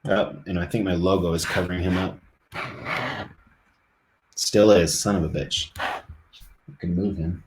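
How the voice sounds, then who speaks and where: A man's voice: low-pitched, steady tone, unhurried, long pauses, roomy sound, a little hiss or hum, low-quality recording. A middle-aged man live streaming, chatting casually and rambling to his viewers.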